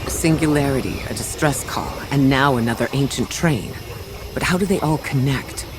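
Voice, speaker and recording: An actor playing a calm, analytical mission guide and scientist in a video game, speaking in a measured, articulate, earnest way. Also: male voice, medium pitch, heavy background noise, close to an everyday voice. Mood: thoughtful concern. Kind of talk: scripted game dialogue. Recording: music behind the voice.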